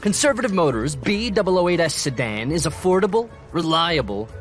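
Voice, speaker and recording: A man playing a smooth, pompous pitchman in a parody car commercial. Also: very animated, fairly quick. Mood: mock-suave. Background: music.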